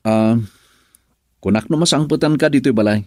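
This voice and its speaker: A man's voice: mid-range voice, moderate pace, a little mellow, no room sound, studio quality, close to an everyday voice. A man acting a defensive, troubled husband in an emotive Ilocano radio drama. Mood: evasive.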